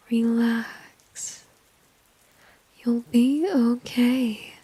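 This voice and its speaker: A woman plays a dominant, flirtatious villainess in an intimate ASMR roleplay. Her whisper is soft, mellow and very breathy, unhurried and smugly teasing. It sits close and dry on the microphone. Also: fairly high pitch, no echo, full character voice, low-quality recording. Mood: soothing, teasing. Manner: slow, teasing, smug, intimate. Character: seductive, dominant female villain teasing a shy enemy.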